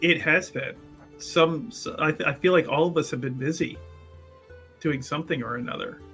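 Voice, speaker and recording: Slightly high guy in a tabletop game, teasing his friends in character, music faint behind. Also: moderate pace, fairly bright tone. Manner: teasing, stammering restarts. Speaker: player. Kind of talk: casual tabletop play, speaking in character.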